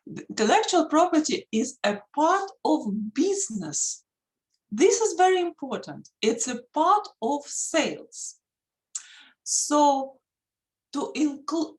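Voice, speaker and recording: A woman with a Russian accent, a native Russian speaker, giving an earnest presentation as a patent attorney over a video call, pausing often with fillers. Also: sits high, low-quality recording. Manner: earnest, emphatic, pauses with many fillers. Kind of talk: online presentation.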